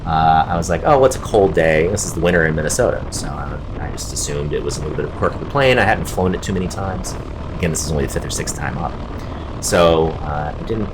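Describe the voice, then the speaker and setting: Male amateur pilot vlogger, very low voice, casual and self-critical commentary, clear background noise. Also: lively intonation, fast talker. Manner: reflective, self-critical, lightly amused. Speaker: amateur pilot and flight video host. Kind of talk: casual off-the-cuff narration and live reaction commentary.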